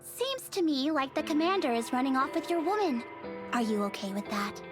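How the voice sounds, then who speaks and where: Teasing soldier girl, high, lively, music behind. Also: a little fast. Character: bold teasing young woman soldier. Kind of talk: game voice acting. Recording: game audio over music.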